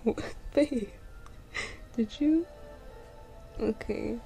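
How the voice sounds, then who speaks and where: Woman acting a very slow, sleepy girlfriend. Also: on the high side, dark tone, soft background music, exaggerated voice. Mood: tender, coaxing. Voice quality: sleepy, breathy, often half-whispered. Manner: drowsy, slow, casual murmuring. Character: sleepy, affectionate young girlfriend cuddling while watching TV. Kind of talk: intimate ASMR girlfriend roleplay.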